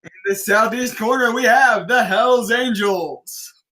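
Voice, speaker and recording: A brash male announcer acted by a man at a script read with a high, bright voice. He works the crowd with showy, teasing lines, like a master of ceremonies at a race. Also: takes it slowly, heavily acted, low-quality recording. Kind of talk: live table read of a film script.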